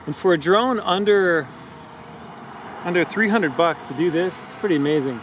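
A man with a slightly higher voice talks casually while flying a drone in an open park, upbeat and thinking aloud. The camera mic picks up clear traffic and wind noise around him. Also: moderate pace. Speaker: drone reviewer. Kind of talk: casual outdoor review commentary. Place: outdoors in a park field.

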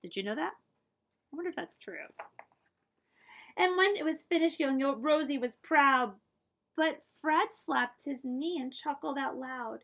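A middle-aged woman with a high-pitched voice reads a children's picture book aloud. Her delivery is expressive and deliberate, shaped as storytelling for young listeners. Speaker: librarian reading aloud. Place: small room with bookshelves, indoors.

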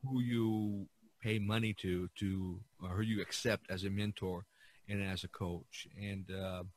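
A man hosting a casual podcast discussion, speaking haltingly and drawing out some words. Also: deep voice, little pitch movement, no echo. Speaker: podcast host.

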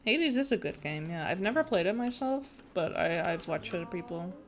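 A young woman streaming a game, chatting casually with her viewers in a nasal voice with vocal fry, giggling often and breaking into excited shrieks. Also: lowish voice, moderate pace. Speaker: VTuber streamer. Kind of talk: casual gaming stream chat, reading chat aloud.

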